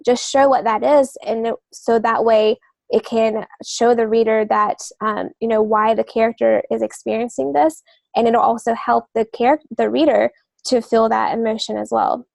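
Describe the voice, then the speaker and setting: A woman's voice: on the high side, fairly even intonation, normal speed, vocal fry, a little mellow, dry recording. A woman co-hosting a writing podcast, explaining craft points in an earnest, wordy, conversational way. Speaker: writing podcast co-host and novelist. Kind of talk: casual instructional discussion.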